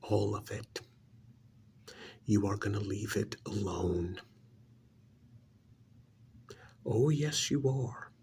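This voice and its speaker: Casting: male actor as bitter alien posing as a stern uncle, very slow and heavily creaky, for an ASMR roleplay. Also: lowish voice, animated, darkish tone, a little hiss or hum, full character voice. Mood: stern, commanding. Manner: stern, contemptuous, slow emphatic delivery with pauses.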